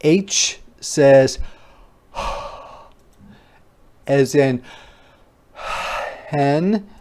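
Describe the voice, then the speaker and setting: A teacher reading aloud to young children in an interactive phonics lesson, deliberately repeating sounds and words and adding simple explanations. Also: medium pitch, a little mellow. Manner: child-directed explanatory asides.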